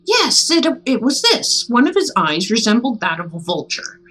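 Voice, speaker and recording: A woman's voice: on the high side, very animated, a bit bright. Woman reading as a nervous, insistent gothic madman. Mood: obsessed. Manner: intense, insistent, deliberate. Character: nervous mad narrator insisting on sanity, gothic horror tale. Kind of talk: dramatic storybook reading.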